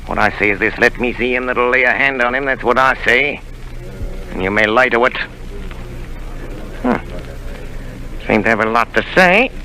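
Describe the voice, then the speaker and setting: An actor playing a cunning old male pirate leader in a 1930s radio drama, talking rough sailor's slang in a sly, coaxing way. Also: medium pitch, pushed and bright, slight background noise, poor audio.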